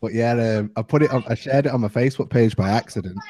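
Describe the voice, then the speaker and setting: A man's voice: medium pitch, quick pace. A man hosting a casual group chat on a live stream, telling a story in a loose, rambling way. He laughs often and pads his talk with fillers. There is a faint hiss of noise behind him.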